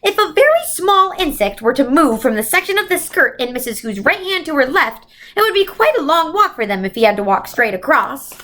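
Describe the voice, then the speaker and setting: Woman reading as a high-voiced, gentle otherworldly guide. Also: sing-song, brisk, few pauses, exaggerated voice. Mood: patient explaining. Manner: patient, encouraging, explanatory. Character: gentle, reassuring female winged otherworldly guide.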